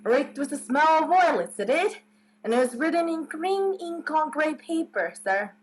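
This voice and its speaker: A woman's voice: sits high, expressive, normal speed, poor audio. A woman playing a plain-spoken rustic village postman in a radio play, talking in folksy dialect with emphatic tags. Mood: folksy, apologetic.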